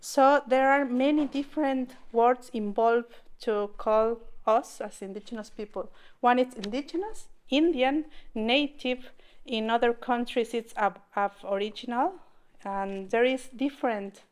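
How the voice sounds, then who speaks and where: A woman in her forties from southern Mexico, Mixe her first language, giving a public talk in English with a Mexican Spanish accent, halting and restarting as she searches for words. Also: fairly high pitch. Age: 40s. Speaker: invited speaker.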